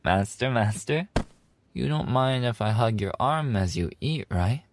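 A man's voice: mid-range voice, lively intonation, unhurried, noisy background. A man voicing a playful, affectionate animal-boy maid café server in an ASMR roleplay, teasing and giggly in a cutesy way. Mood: clingy, affectionate.

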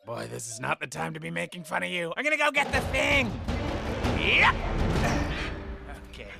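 A man playing an excitable, scatterbrained demon hunter in a cartoon comedy, stammering and theatrical, swinging between muttering and yelling. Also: sits high, normal speed, creaky, full character voice. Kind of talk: animated comedy acting. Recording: loud music behind the voice.

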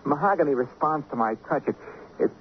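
An American actor playing an edgy, wounded cabinetmaker in a radio drama, earnest, halting and volatile. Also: male voice, a little high, animated, lightly acted. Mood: eager, nervous. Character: edgy, wounded working-class male cabinetmaker, protective brother. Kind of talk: 1950s radio drama acting. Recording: muffled old radio recording with hiss.

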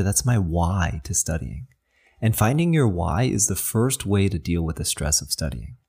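Earnest low-voiced man with husky tone. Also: a little mellow. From New Jersey, raised in New York. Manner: encouraging, earnest, talks straight to the listener. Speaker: podcast host and acupuncturist. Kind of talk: motivational podcast talk.

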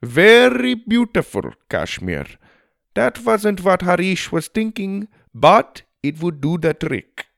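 A man acts out a proud Indian elephant rider for a children's audiobook. The voice sits higher and moves about in lively swings. He is theatrical and emphatic, cheerful and quick to scold. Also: vocal fry, no room sound, clean studio sound, exaggerated voice. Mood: delighted.

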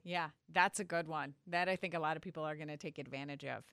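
A woman hosting a podcast interview, chatty and quick with short encouraging replies. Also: deep voice, gravelly, a bit bright, clean tone, dry recording, clean studio sound. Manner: chatty, reacts with short affirmations. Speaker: podcast host. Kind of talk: casual interview.

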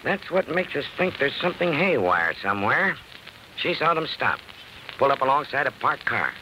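An actor playing a weary, plain-spoken, sympathetic police detective in a matter-of-fact radio drama style. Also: male voice, medium pitch, very animated, normal speed, sharp tone. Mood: determined, sympathetic. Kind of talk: 1940s radio acting. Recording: faintly noisy old radio recording.

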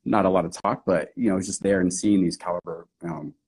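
A man from New Hampshire, a guest strength coach telling his background in a relaxed, easygoing way. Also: deep voice, fairly quick, breathy edge. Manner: relaxed storytelling, easy laughs. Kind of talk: casual interview answers.

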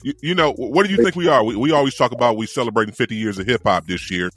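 A man's voice: medium pitch, very fast, fairly bright tone, breathy edge, no echo, soft background music. An American man hosting a podcast in casual, slangy talk, often repeating his phrases. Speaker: podcast host. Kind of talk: casual chat.

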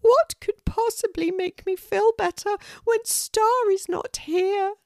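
A woman voicing a sad young female pelican, speaking slowly in a very high, soft, tearful voice. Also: vocal fry, dry recording, clean studio sound, heavily acted. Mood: pleading.

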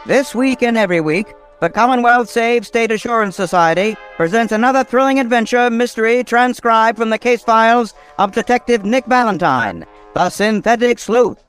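An actor playing a grand, excited radio show announcer, declaiming dramatically in 1940s style. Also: male voice, extremely high pitch, normal speed, few pauses, clear, resonant tone, soft background music, poor audio. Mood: dramatic fanfare.

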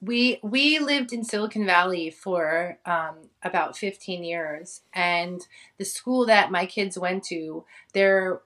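Bright, chatty woman guest. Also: fairly low pitch, takes it slowly. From Hawaii, United States. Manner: chatty, laughs often, many fillers. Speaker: interview guest. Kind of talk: casual conversation.

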